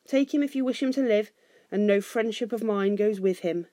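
A woman's voice: medium pitch, normal speed, no room sound, close to an everyday voice. A woman voicing a proud, stubborn dwarf king from a storybook, imperious and scornful. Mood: bitter, grim. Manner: imperious, scornful, shouting in rage.

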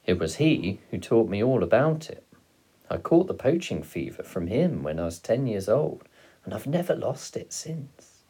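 A man's voice: lowish voice, very animated, moderate pace, warm tone, breathy edge, voice pushed up, poor audio. A man voicing a roguish, gleeful father who tells stories with dramatic relish in an audiobook. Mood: fond, proud. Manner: exclamatory, relishing, dramatic delivery. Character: roguish, gleeful father, fond storyteller of poaching.

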